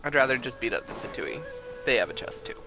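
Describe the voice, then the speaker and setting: A man's voice: medium pitch, lively intonation, fast talker, gravelly, music in the background. A man chatting casually on a game livestream, with faint noise and game audio behind him. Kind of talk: casual stream chat. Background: video game audio.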